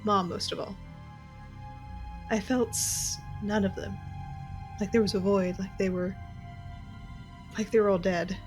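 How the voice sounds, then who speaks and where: A woman's voice: medium pitch, fairly even intonation, measured pace, long pauses, music in the background, lightly acted. A woman playing a tough, irreverent young intelligence officer held captive, narrating her story bluntly in an audio drama. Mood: troubled.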